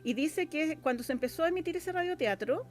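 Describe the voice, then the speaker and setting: A woman's voice: high-pitched, expressive, brisk. A woman with a Chilean accent speaking as a guest in a casual interview, explaining hesitantly and repeating phrases for emphasis. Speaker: guest, radio drama scriptwriter.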